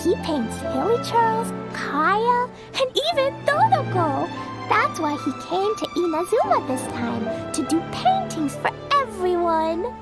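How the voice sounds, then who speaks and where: A very high, bubbly little girl in a fantasy game, chattering innocently and unhurried. Soft game music runs underneath. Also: sing-song, heavily acted. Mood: chatty. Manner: bubbly, childlike, says "super duper" and "Mister". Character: small excitable girl, cheerful and innocent. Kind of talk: game voice acting.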